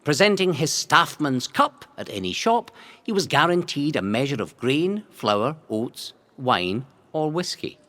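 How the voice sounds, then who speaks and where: A man reads a scripted historical narration in a theatrical, measured storytelling manner. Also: on the high side, very animated, fairly bright tone. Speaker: narrator of a local history audio guide.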